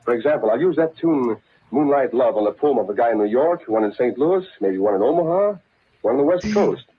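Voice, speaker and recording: An actor playing a glib, cynical big-city hustler in a vintage radio drama, brisk and dismissive. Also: male voice, mid-range voice, animated, husky. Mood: smug, cynical. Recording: tinny, muffled old radio recording.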